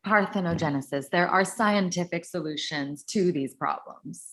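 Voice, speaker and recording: A woman's voice: lowish voice, normal speed, fairly bright tone. A woman playing an eccentric, blunt and flippant leftist in a live staged play reading. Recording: faint noise and clicks.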